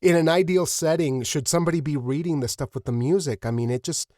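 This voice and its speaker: A man hosting an interview in casual, reflective talk, effusive and earnest with halting phrasing. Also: on the high side, a little mellow, no room sound, studio quality. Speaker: podcast host, interviewer.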